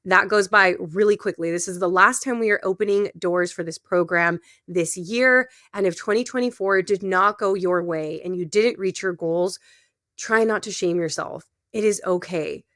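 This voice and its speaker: A woman's voice: on the low side, normal speed, fairly bright tone. A woman with a stuffy, slightly nasal voice from a cold, giving an encouraging motivational webinar as a coach and podcast host. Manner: encouraging, direct address to listeners. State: congested, a little nasal from a cold.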